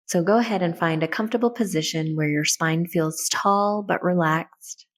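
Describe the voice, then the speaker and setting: A woman with a slightly low voice leads a guided meditation. She gives soft, soothing instructions in a gentle manner, as a host walking listeners through each step. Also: normal speed.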